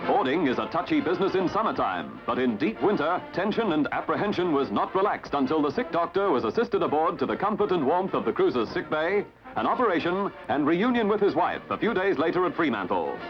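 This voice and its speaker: A band-limited vintage newsreel soundtrack with prominent music carries an Australian man's narration. His voice sits fairly high and swings widely in pitch. He delivers scripted 1950s commentary like a jaunty, theatrical announcer. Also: sing-song, fairly quick, muffled recording.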